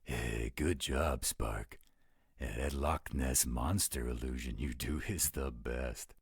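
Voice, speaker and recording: A man's voice: extremely low pitch, slow, slightly rough, dry recording, studio quality, exaggerated voice. A man playing a confident, reassuring supernatural companion in a scripted roleplay audio drama. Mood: approving, decisive.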